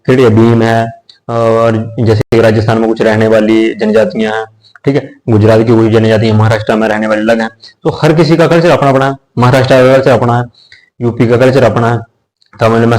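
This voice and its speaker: A man's voice: mid-range voice, darkish tone, low-quality recording. A man teaching a social studies lesson in a casual, explanatory way, often repeating himself and checking in with his students. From India. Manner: conversational, repeats phrases, checks in with listeners. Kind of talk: explanatory lecture.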